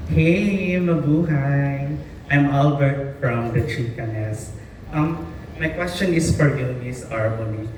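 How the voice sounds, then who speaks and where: A man's voice: mid-range voice, slow, a little mellow. A man asking questions as a journalist, hesitant and full of fillers. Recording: echoey hall, distant mic, noisy.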